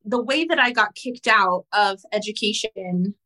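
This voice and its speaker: A woman in her thirties whose first language is Spanish, telling her story in an interview in a frank, animated way and swearing casually. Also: mid-range voice, a little slow, rough recording. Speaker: guest entrepreneur.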